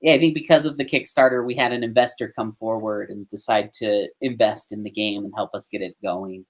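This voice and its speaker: A man's voice: on the low side, fairly even intonation, a little fast. A man speaking as an interview guest, casually explaining things in conversation. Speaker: interview guest, animation studio co-founder.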